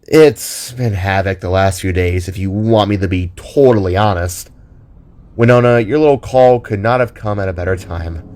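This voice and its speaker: A man voicing a weary, earnest young investigator with a measured, candid manner in an audio drama. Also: low voice, a little mellow, close to an everyday voice.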